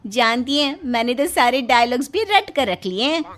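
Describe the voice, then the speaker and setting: A woman's voice: sits high, lively intonation, normal speed, a bit bright. A woman voicing a cheeky, swaggering young wife in a cartoon comedy, delivering her lines like movie dialogue. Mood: proud, swaggering. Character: swaggering young wife obsessed with action-film heroes. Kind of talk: Hindi cartoon comedy voice acting.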